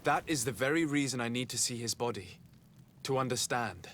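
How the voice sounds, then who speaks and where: A man's voice: medium pitch, fairly bright tone, slight background noise, lightly acted. Composed, diplomatic young envoy played by a man. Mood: earnest, measured. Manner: formal, measured, earnest. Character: composed, diplomatic young male envoy seeking his lost cousin. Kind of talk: video game cutscene acting.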